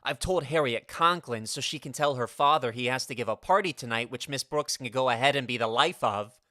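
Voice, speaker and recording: A man's voice: mid-range voice, brisk, pushed and bright, no room sound, studio quality, natural voice. A man playing an eager, hapless teenage boy in a 1940s-style radio comedy, gabbing earnestly. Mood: chatty, scheming. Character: eager, hapless teenage boy schoolmate, showing off party tricks.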